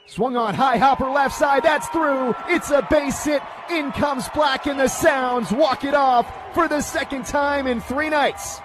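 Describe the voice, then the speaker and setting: A man calling live sports play-by-play in punchy, crisp announcer phrasing. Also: very high-pitched, measured pace, few pauses, shouting, a crowd in the background, noisy background.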